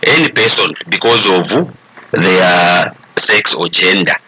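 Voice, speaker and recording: A man with a Zambian accent speaking as an expert panelist, explaining deliberately and switching between two languages. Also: low-pitched, animated, sharp tone, husky, rough recording. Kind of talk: explanatory talk mixing English and Bemba.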